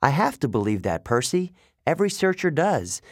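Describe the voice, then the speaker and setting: A man voicing an earnest, idealistic young male satyr who sounds wistful and quick to take offense. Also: on the high side, sing-song, no echo, studio quality, pitched above the performer's own voice. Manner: wistful, indignant about pollution.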